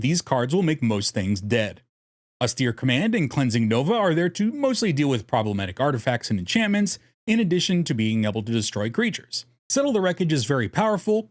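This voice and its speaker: A man's voice: a little high, dry recording, studio quality. A man narrating a scripted strategy guide in a jokey, irreverent way, casually swearing as host. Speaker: host of a card game deck guide.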